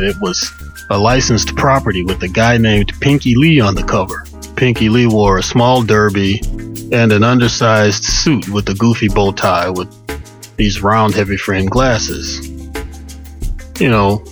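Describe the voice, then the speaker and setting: A man with a fairly low voice narrates a personal story like a radio storyteller. His delivery is colloquial and wry, measured in its phrasing, with music playing faintly underneath. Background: music bed.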